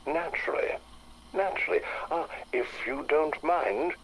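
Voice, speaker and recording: An actor playing an urbane, fussy English gentleman explorer with an upper-class accent, measured and pompous in a period audio drama. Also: fairly high pitch, a little hiss or hum, low-quality recording. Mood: genial, self-satisfied.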